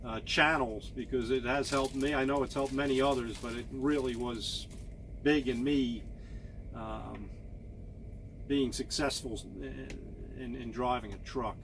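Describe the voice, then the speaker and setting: A man in his fifties, a truck driver, talking casually to camera in a monotone, halting way with many fillers and repeated words. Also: mid-range voice, noisy background. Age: 50s.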